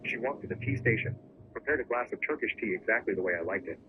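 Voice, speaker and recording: Fast-talking man narrating a story. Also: fairly low pitch, expressive. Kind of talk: scripted first-person story narration. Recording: muffled lo-fi recording with clear background noise.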